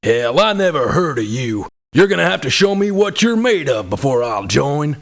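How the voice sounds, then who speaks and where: A man playing a gruff, blunt, hot-tempered mercenary for hire in a video game, with a gravelly, husky voice. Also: very animated, moderate pace. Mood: gruff, dismissive. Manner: blunt, cranky, folksy swearing. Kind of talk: video game character voice lines. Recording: low sample rate game audio clips.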